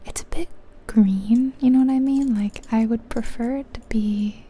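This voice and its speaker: A woman whispering softly and breathily in a casual, chatty ASMR style as she comments on a game, gentle and musing. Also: fairly high pitch, fairly even intonation, normal speed, breathy edge. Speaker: ASMR channel host.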